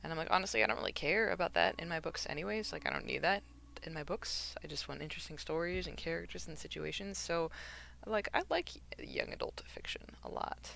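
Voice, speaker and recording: Chatty gravelly-voiced young woman. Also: on the low side, fast talker, pushed and bright, slight background noise. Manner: rambling, chatty, with fillers and laughs. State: a bit hoarse from not talking for hours. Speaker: craft streamer. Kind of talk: casual streaming chat while knitting. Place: at home, alone.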